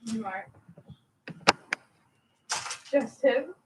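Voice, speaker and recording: A woman's voice: a little high, lively intonation, very slow, a little mellow, reverberant. A woman playing in a tabletop game, chatting casually and fussing over the audio setup. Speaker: player. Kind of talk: casual chat. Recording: distant mic with noisy background.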